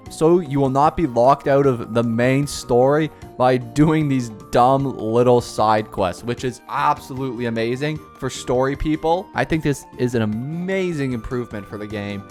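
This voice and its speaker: A man hosting a gaming channel, chatting casually and poking fun at himself while he reads through a developer blog. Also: medium pitch. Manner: self-deprecating, chatty, mock-excited cheers. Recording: faint background music.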